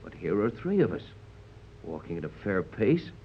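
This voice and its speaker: A muffled old radio recording with light noise carries a low-voiced American adventurer captain. He is steady, grave and protective, issuing firm, deliberate orders in vintage serial style. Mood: tense, cautious. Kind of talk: 1930s radio serial acting.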